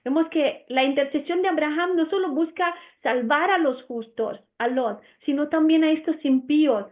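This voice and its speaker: A woman's voice: sits high, moderate pace, phone-quality sound. A woman from Romania with an Italian accent, whose first language is Romanian, teaching the Bible earnestly with frequent "eh" fillers.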